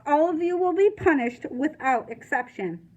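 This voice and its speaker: A stern, indignant prison warden from a children's novel, as a woman reader puts him on. The voice is high, cold and commanding. He scolds emphatically, biting off his orders. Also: animated, normal speed, darkish tone, dull audio. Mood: cold, threatening.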